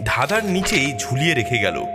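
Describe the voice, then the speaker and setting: A man narrating a scripted cartoon tale as an expressive storyteller. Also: medium pitch, moderate pace. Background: music.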